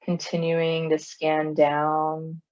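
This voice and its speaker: A woman guides a body scan meditation in a low, soothing voice. Her pace is very slow, with long pauses between short phrases. The recording is somewhat dull and muffled. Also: monotone, darkish tone. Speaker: meditation teacher.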